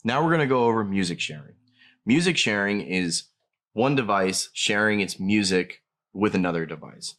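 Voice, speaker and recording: A man's voice: lowish voice, animated, normal speed, fairly bright tone. A man narrating a scripted product tutorial, explaining each step plainly as a demonstrator.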